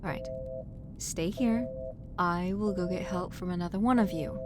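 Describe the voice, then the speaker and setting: A woman playing a caring human medic on an alien spaceship in a roleplay audio drama, with a gentle, hesitant, fussing manner. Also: normal speed, over music, lightly acted. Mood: urgent concern. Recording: noisy audio with low rumble.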